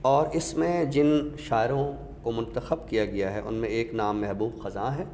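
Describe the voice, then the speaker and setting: A man with a Pakistani accent, giving a literary talk with recited verse in a measured, explanatory way with pauses. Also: mid-range voice, steady tone, darkish tone. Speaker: host of an Urdu literature show. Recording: echoey reverberant room, faint noise.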